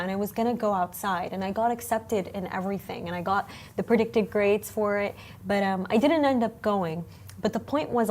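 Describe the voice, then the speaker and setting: A woman's voice: medium pitch, a little hiss or hum. A woman in her twenties, chatting animatedly as a co-host and using many fillers like "you know". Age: 20s. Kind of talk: casual studio chat.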